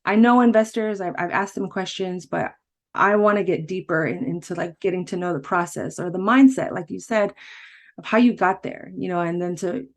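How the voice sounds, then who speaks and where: A woman's voice: fairly low pitch, normal speed. A woman hosting a podcast, asking questions and reflecting earnestly in a casual interview. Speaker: podcast host.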